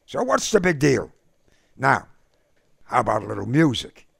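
A gruff, streetwise older brother, defensive and blunt, as a male narrator voices him. His speech is gravelly and lively in pitch. It sounds dry and close to the mic. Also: normal speed, no echo, heavily acted. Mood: breezy.

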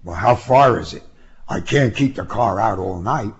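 Man playing an eager young guy, husky voice. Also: fairly low pitch, normal speed, dull audio. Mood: uneasy.

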